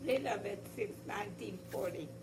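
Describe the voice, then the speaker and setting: An elderly woman with a Hungarian accent, hoarse, chatting haltingly and searching for words in a family conversation. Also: mid-range voice, a little mellow, distant mic, slight background noise. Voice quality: gravelly. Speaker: guest grandmother. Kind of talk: casual family chat.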